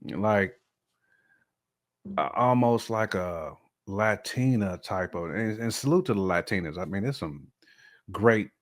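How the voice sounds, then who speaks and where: A man in his forties co-hosting a talk show, giving casual opinions in colloquial, halting speech that often repeats words. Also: on the low side, lively intonation. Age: 40s.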